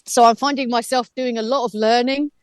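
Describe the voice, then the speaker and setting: A woman's voice: a little high, steady tone, brisk, dry recording. Reflective chatty woman in her fifties. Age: 50s. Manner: reflective, chatty, laughs easily. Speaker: guest. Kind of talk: radio interview.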